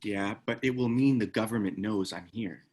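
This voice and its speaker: A man's voice: on the low side, moderate pace, a little mellow, lightly acted. A man playing a terse, guarded ex-military operative in a screenplay table read.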